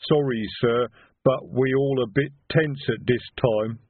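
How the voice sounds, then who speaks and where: A man voicing a slow, loyal, apologetic troll sergeant with a rumbling voice in a storybook reading. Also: husky, no room sound. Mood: apologetic, dutiful. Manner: slow, plodding, simple grammar. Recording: narrow phone-like band.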